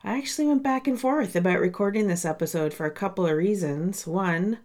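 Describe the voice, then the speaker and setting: A woman's voice: medium pitch, a little fast, a little mellow. A woman hosting her own podcast in a chatty, candid way, her voice nasal and congested from a sinus cold. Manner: chatty and candid, speaks to listeners directly. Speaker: podcast host and coach. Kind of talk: casual solo podcast monologue.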